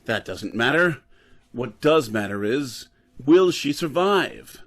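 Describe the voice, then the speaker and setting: A man playing a dedicated, weary scientist professor in old-time radio drama style, speaking in a measured, formal way. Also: mid-range voice, expressive, fairly bright tone. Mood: earnest, driven.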